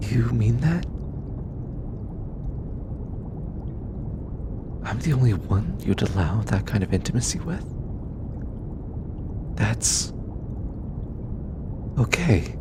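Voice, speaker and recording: A man voicing a shy, gentle young alien scientist in an intimate audio roleplay, speaking softly and hesitantly with stammers. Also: sing-song, slow, pauses often. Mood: flustered, earnest. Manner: hesitant, stammering, soft-spoken. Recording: clear low background rumble noise.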